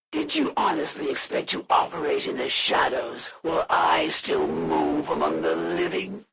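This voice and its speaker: Game villain, alien assassin. Formal, disdainful menace, swooping intonation, sharp forceful tone. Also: female voice, lowish voice, creaky, slight background noise, full character voice. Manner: formal, haughty, deliberate, threatening. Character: proud alien assassin warrior, female, menacing, honor-bound, disdainful. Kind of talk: scripted video game villain voice acting.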